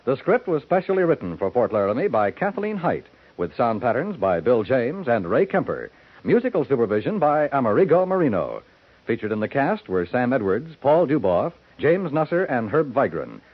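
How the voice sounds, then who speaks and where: A man's voice: medium pitch, big pitch swings, dry recording. Man reading 1950s radio credits. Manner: measured, formal announcer delivery. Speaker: announcer. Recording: dull 1950s radio broadcast recording with music.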